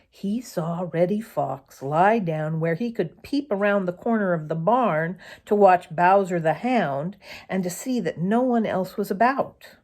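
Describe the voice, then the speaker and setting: Slow-paced woman reading a children's story. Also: on the low side, darkish tone. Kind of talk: expressive children's story narration.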